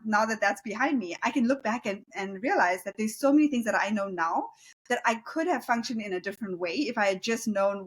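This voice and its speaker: Fast-talking South African woman coach. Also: mid-range voice, a bit bright, husky, rough recording. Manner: earnest, reflective, many fillers. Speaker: guest, leadership coach. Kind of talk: conversational interview.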